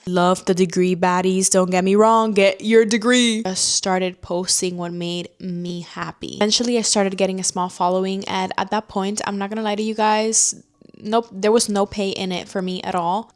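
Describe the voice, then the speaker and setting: A young woman from Cuba telling her own story in a candid, emphatic vlog, now and then swearing for emphasis. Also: mid-range voice. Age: young adult. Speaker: content creator.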